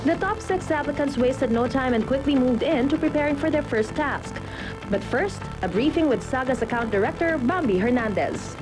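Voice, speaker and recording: A woman narrating a reality TV show in a smooth announcing voice. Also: high-pitched, animated, normal speed, music in the background, low-quality recording. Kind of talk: TV voice-over narration.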